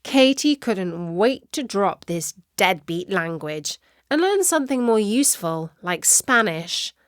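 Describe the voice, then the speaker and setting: A woman narrating a children's story in an expressive storytelling manner. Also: medium pitch, a little slow, dry recording, clean studio sound.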